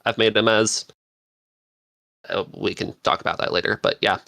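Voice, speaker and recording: A man talking casually and enthusiastically as a podcast panelist, quick to agree with the others. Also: lowish voice, steady tone, creaky, pushed and bright, no room sound.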